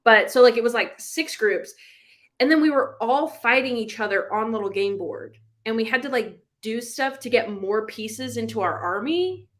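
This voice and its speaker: A woman's voice: a little high, expressive, moderate pace. A woman hosting a casual live book club chat, talking in an informal, slangy, rambling way. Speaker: live show host.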